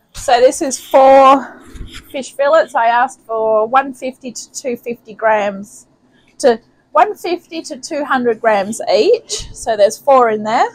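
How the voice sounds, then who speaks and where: A woman's voice: fairly high pitch, no room sound, poor audio. Chatty woman cooking in her kitchen. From New Zealand. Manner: chatty, homely, thinks aloud while cooking. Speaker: home cooking channel host. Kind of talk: casual cooking demonstration. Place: home kitchen. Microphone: camera mic in the kitchen, off to the side.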